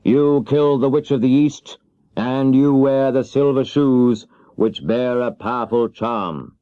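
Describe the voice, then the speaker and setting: A man voicing a stern, commanding great wizard who speaks deliberately in a children's storybook. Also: mid-range voice, mellow, clean tone, dry recording, pitched above the performer's own voice, lightly acted. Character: stern, bargaining great wizard, a giant head. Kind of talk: children's audiobook character voice. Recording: dull 1970s cassette audiobook.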